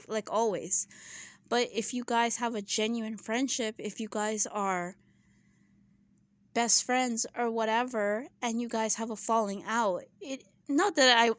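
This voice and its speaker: A woman giving her casual opinions in a vlog, chatty and full of "right?" asides, clearing her throat and losing her voice. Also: a little high, no room sound. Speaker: YouTube commentator.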